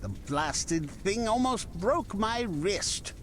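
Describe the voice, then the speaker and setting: A man voicing a chatty, obliging weapons merchant from the musket era in a video game, talking in unhurried salesman patter. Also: high voice, expressive, a bit bright, slight background noise, full character voice. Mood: helpful, musing. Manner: unhurried, conversational salesman patter with dramatic pauses. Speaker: game shopkeeper character.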